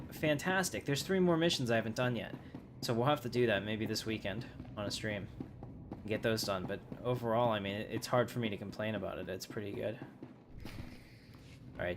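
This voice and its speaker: A man's voice: fairly low pitch, background noise. A man livestreaming a game, chatting casually and offhandedly while he plays.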